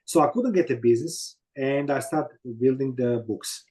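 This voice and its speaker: Croatian-accented man, mellow halting storyteller. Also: medium pitch. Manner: halting, many fillers and "you know what I mean". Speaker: guest. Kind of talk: casual interview storytelling.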